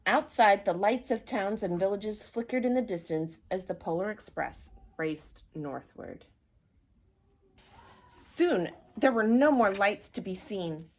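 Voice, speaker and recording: A woman's voice: mid-range voice, lively intonation, takes it slowly. Woman reading a children's story aloud. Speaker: storybook reader. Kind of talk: expressive read-aloud narration of a children's story.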